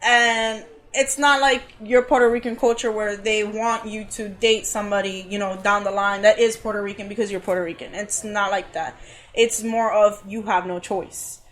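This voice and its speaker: A woman's voice: medium pitch, steady tone, normal speed, a bit bright. A woman from Cuba, a podcast guest, earnestly telling a personal story in a casual way with frequent uhs. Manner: earnest, talks things through with many uhs.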